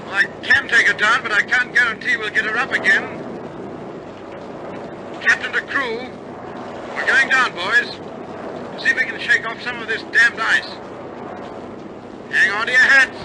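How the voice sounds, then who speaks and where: A man's voice: extremely high pitch, steady tone, measured pace, pauses often. A man playing an RAF bomber crewman in an old-style radio drama, speaking in a clipped, urgent, stoic military way. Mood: tense, stoic. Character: male RAF bomber crewmen in tense wartime flight. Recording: noisy old recording, loud aircraft engine drone. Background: aircraft engine roar.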